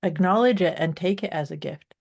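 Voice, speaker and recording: A woman co-hosting a podcast in casual chat, with a calm, soothing voice and a reflective, easygoing manner. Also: lowish voice, fairly quick. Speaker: podcast co-host.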